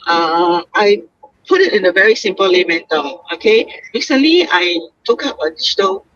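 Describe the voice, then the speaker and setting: Bright-voiced woman hosting a live chat. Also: medium pitch, lively intonation, normal speed, no room sound, muffled recording. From Singapore. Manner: chatty, laughs often, many fillers. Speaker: host. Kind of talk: casual live-stream talk. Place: moving vehicle on the way home.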